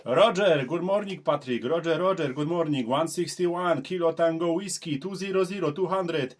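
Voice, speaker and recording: Man on CB radio, high voice. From southern Poland. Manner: halting non-native English, spelling call signs. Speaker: CB radio operator. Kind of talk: CB radio contact.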